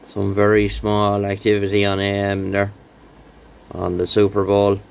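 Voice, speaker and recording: A man's voice: fairly low pitch, completely flat delivery, unhurried, gravelly, smooth. A man giving a casual, rambling, understated hands-on show-and-tell as a hobbyist, with some hesitation. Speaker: radio hobbyist presenting a rebuilt CB radio.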